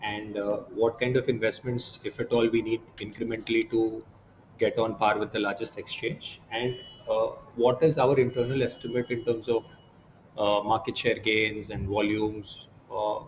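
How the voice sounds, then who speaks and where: A man's voice: fairly low pitch, flat delivery, moderate pace. Indian male analyst on a noisy line. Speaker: investment analyst asking questions. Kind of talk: conference call questions. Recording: muffled, noisy conference line.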